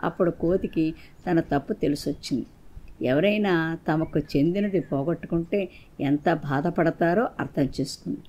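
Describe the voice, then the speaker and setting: Low-pitched woman storyteller. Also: moderate pace, warm tone, breathy edge. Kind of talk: scripted moral folktale narration for children.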